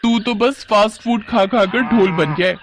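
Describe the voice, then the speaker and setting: A man's voice: high voice, normal speed, a crowd in the background, voice pushed up. A man voicing a teasing, sensible village girl, the bossy elder sister in a cartoon story. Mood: taunting. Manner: taunting, bossy.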